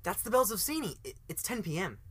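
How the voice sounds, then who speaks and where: A man's voice: high voice, very animated, fast talker, slightly rough, no room sound, exaggerated voice. A man playing an eager, casual college student in an amateur radio play, with stammering, informal delivery. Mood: urgent. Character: eager, casual college student, self-aware bit character.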